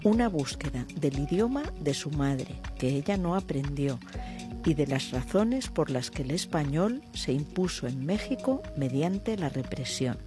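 A woman hosting a book podcast, interviewing a guest in a museum with short affirmations. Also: sits low. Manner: warm articulate host, brief affirmations. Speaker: podcast host. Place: art museum in Madrid.

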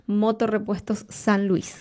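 A woman's voice: medium pitch, little pitch movement, slow pace, darkish tone. A woman, co-hosting local radio and reading promotional offers in an upbeat selling tone. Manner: upbeat, selling tone, backing up her co-host. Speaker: radio co-host reading ads. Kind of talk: bright promotional ad read.

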